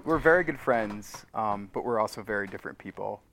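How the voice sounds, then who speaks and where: A man's voice: fairly low pitch, animated, a little fast, fairly bright tone, slight background noise. A man speaking casually as an interview guest, thinking aloud and correcting himself as he goes. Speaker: podcast guest, audio drama co-creator.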